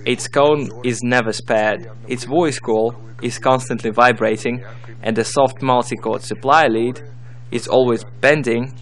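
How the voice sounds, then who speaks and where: A man's voice: mid-range voice, fairly even intonation, unhurried, fairly bright tone, rough recording. A man giving scripted, step-by-step instructional narration as the narrator of a tutorial.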